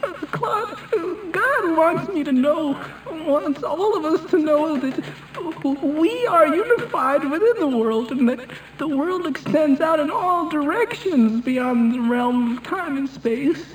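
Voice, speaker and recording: Guy doing a prank phone call, pitch all over the place, keeps pleading and repeating himself. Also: expressive, slow pace, slight background noise. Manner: hesitant, repetitive, pleading, addressing listener by name. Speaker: prank caller. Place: on a telephone call.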